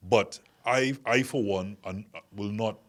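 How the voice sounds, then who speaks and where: A man hosting a casual discussion, with a fairly low voice. He questions what others say and works through his own thoughts aloud, restarting his phrases as he goes. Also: takes it slowly. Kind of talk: casual panel chat.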